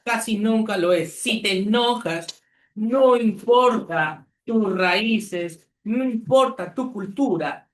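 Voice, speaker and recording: A man from Peru, preaching an earnest sermon as pastor with deliberate pauses. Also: high-pitched. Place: church. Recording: echoey church hall.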